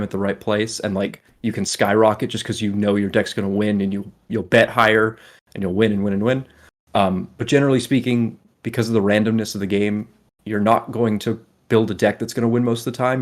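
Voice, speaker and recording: A man with a fairly low voice talks casually as a podcast co-host. He explains things at length in an informal, conversational way and swears offhandedly. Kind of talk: casual gaming podcast chat.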